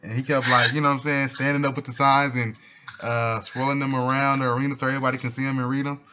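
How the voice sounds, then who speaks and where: Male interviewer, bright edgy tone, relaxed slangy delivery, casual sports talk on a dull phone call. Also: medium pitch, fast. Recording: dull, noisy phone line.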